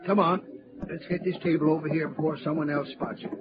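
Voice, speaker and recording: An actor playing a talkative, folksy gold prospector with an American accent, in the animated style of old radio drama. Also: male voice, fairly high pitch, quick pace, natural voice. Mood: jovial, boastful. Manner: folksy, colloquial, chuckling, animated. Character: excitable, talkative, poker-loving gold prospector, a man. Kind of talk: 1940s-50s radio drama acting. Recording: dull old radio recording, noisy saloon crowd.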